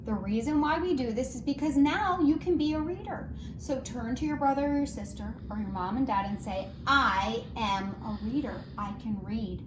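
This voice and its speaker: A woman with a fairly high, very lively voice gives a phonics lesson to young children. She has a sing-song teacher's delivery, sounding words out and putting questions straight to her listeners. The room echoes and there is noticeable noise behind her. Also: moderate pace, hardly any pauses. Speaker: early reading teacher. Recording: roomy echo, clear background noise.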